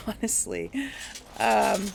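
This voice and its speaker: Woman let's-play host, lively pitch, unhurried, thinks aloud with chuckles. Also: creaky. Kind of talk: casual gameplay commentary.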